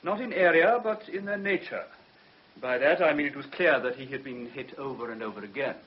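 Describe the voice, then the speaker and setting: A man's voice: medium pitch, brisk, close to an everyday voice. A man playing a firm, clinical doctor giving evidence in a radio drama, formal, precise and assured. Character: firm, clinical male doctor testifying as witness. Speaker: actor. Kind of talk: British radio drama acting. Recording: muffled vintage radio drama recording.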